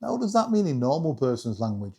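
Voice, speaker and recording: Animated man, mellow voice. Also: medium pitch, fast, vocal fry, husky. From England. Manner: self-deprecating, jokey, addresses viewers directly. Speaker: hobbyist video creator and host. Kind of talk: casual chatty vlog presentation.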